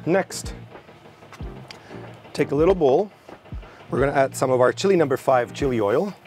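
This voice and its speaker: A young man cooking outdoors at a barbecue, relaxed and explaining each step as he works. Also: mid-range voice, moderate pace, faint music behind. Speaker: cooking show chef. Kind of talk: cooking demonstration. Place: outdoor garden by a barbecue grill.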